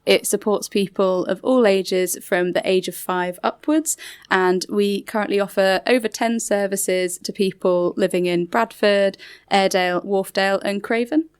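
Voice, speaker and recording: A woman's voice: mid-range voice, steady tone, moderate pace, clear, resonant tone. A woman speaking as a charity representative and radio guest, explaining things in an articulate, informative way. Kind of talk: explanatory radio interview talk.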